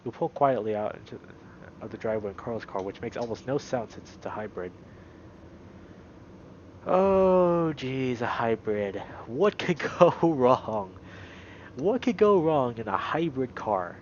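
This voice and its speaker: A man streaming a visual novel, moving between read-aloud narration and casual, animated commentary. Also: mid-range voice, muffled recording, slight background noise.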